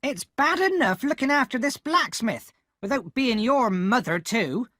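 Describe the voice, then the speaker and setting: A man voicing a hard, angry older woman who scolds and snaps in a shrill voice. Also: very animated, a little slow, pushed and bright, no echo, studio quality, full character voice. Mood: scolding.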